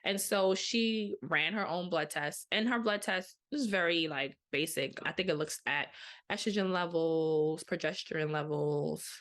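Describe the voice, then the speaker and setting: A woman in her thirties hosting a podcast, telling a personal story in a casual, chatty way with lively quoted asides. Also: lowish voice, unhurried. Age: 30s. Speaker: podcast host. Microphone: podcast microphone.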